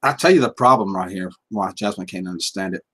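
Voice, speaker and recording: A man's voice: low-pitched, quick pace, pushed and bright, a little hiss or hum. A middle-aged man with a Southern US accent, chatting casually and folksily while he hosts a livestream and reads out the chat. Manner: folksy and chuckling, drawls out words. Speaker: livestream host reading chat.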